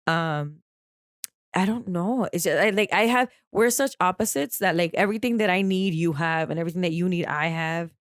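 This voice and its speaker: A woman's voice: on the low side, moderate pace, no room sound. A woman co-hosting a podcast, nasal from crying, talking candidly and in a rambling way about a close friendship. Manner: candid and rambling, with casual swearing. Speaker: podcast co-host. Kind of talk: casual heartfelt chat.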